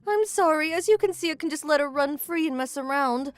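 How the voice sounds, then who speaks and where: A woman's voice: high voice, fairly quick, natural voice. A woman playing a sweet, lovestruck teenage schoolgirl in a manga dub, speaking politely and earnestly. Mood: shyly grateful. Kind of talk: manga dub romcom acting.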